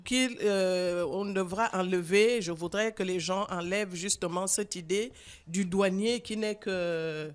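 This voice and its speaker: A woman in her sixties with a Gabonese French accent, a guest author speaking earnestly and emphatically in a radio interview, pausing often with fillers. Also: medium pitch, smooth. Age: 60s. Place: radio studio.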